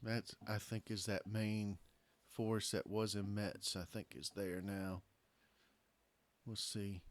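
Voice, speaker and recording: A man with a lowish, hoarse voice talks through his moves in a strategy game. He thinks aloud, trailing off and correcting himself as he weighs his options. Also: level tone, slow. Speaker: gameplay commentator. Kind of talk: casual let's-play commentary.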